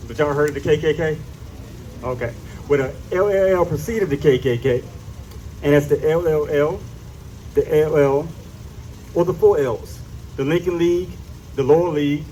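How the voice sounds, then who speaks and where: A man's voice: fairly high pitch, a little mellow, rough recording. A man giving an informal talk to a live audience as presenter, emphatic, repeating phrases and asking listeners questions. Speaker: presenter at a history event. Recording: live event with faint noise.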